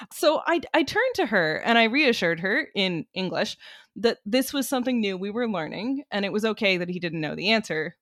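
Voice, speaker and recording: A woman's voice: mid-range voice, a bit bright, no echo, clean studio sound. A woman giving an articulate, conversational explanation in the manner of an educator being interviewed. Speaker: music cognition researcher and educator, interviewee.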